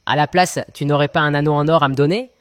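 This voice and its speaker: A man plays a young farm lad in a children's cartoon tale. The voice is on the high side and recorded dry. He is cheeky and eager, always ready to argue or play on words. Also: steady tone, quick pace, fairly bright tone, dry recording, natural voice. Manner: cheeky, eager, pun-loving. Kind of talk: children's cartoon storybook acting.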